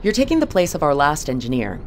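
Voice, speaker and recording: A woman playing a composed, authoritative spaceship captain in an audio drama, measured and businesslike. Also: sits low, wildly swooping pitch, a little mellow, a little hiss or hum. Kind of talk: naturalistic audio drama acting.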